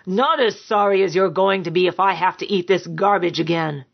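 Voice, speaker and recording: A woman's voice: lowish voice, moderate pace, gravelly, dull audio. A woman voicing a big, bullying, angry man with a growling, contemptuous manner in a dramatised story. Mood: furious roaring. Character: big brutish angry man, abusive sneering lover. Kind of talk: dramatised fiction narration.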